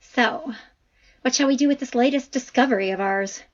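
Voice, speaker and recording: A woman's voice: high voice, normal speed, dull audio, lightly acted. A woman playing a friendly, cautious professor in an audio drama, talking in an informal, tentative way. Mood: wary, gentle.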